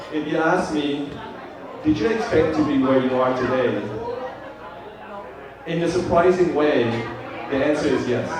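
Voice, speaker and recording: Man in his fifties, speech in echoey hall. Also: medium pitch. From Vietnam. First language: Vietnamese. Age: 50s. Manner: halting, many fillers and restarts. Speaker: birthday host giving a speech. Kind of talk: informal speech to guests. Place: large room at a party. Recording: echoey party room, mic far off. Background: crowd of guests.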